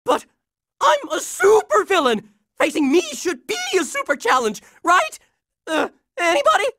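A woman's voice: very high-pitched, wildly swooping pitch, moderate pace, fairly bright tone, no room sound, clean studio sound, exaggerated voice. A woman voicing a bumbling, blustering, whiny supervillain in a cartoon video game. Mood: flustered, whiny.

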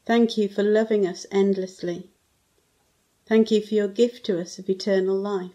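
A woman in her fifties prays aloud at a measured pace. Her voice is mellow and soft-edged, sitting at a medium pitch, recorded in a room at home. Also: steady tone. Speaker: church member leading prayer. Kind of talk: measured spoken prayer.

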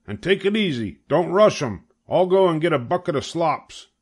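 A man voicing a practical male farmer who gives firm, calm orders. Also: on the high side, normal speed, slightly rough, pitched above the performer's own voice. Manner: firm, instructing.

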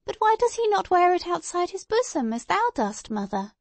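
Woman voicing an airy, high-pitched, inquisitive little girl. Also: very animated, normal speed, no room sound, heavily acted. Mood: eager, curious. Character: lively, curious, prattling little girl.

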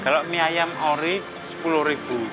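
A man's voice: a little high, fairly even intonation, moderate pace, rough recording. A young man, a restaurant cook, answering a host's questions in brief, agreeable replies. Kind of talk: casual interview answers. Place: open-sided restaurant hut. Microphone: lapel mic. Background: loud background music.

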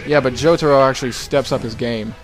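A man's voice: medium pitch, quick pace. A man giving joking, crude gameplay commentary as the host of a let's play. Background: video game music.

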